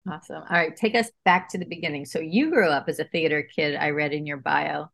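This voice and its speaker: Brief woman interviewer, lowish voice, eager reactions, brief prompts. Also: fairly even intonation, a little fast, creaky, fairly bright tone. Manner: enthusiastic, short reactions. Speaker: interview host. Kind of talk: interview questions.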